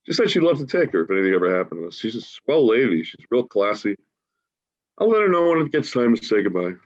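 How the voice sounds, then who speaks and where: A man playing a big-hearted, folksy homeless dreamer in a staged play reading, coaxing and husky, on dull video-call audio. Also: mid-range voice, very animated, fast. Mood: fond.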